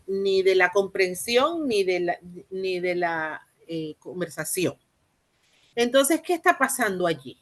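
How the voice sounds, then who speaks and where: Panamanian woman lecturing, halting and explanatory. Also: mid-range voice, fairly bright tone. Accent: Panamanian Spanish. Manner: halting, repeats and rephrases phrases, rhetorical questions. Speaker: university lecturer in teacher training.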